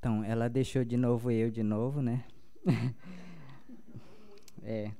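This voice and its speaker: A young man with a Paumari accent, a native Paumari speaker, giving a halting talk at a panel with many restarts. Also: medium pitch, flat delivery, dark tone.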